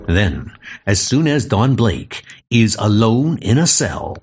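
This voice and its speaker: A man narrating a comic book audio drama in a dramatic, deliberate way. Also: fairly low pitch, sing-song, a little mellow, breathy edge.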